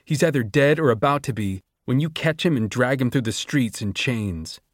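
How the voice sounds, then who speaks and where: A man's voice: mid-range voice, lively intonation, moderate pace, no echo, clean studio sound, lightly acted. A man voicing a defiant, idealistic young man who speaks in a strained, heated way. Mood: bitter. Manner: strained, heated, spitting words out.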